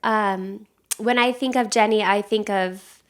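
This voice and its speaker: A woman with a medium-pitched voice hosts a podcast. She speaks in a casual, conversational interview style. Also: steady tone, a little slow. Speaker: podcast host.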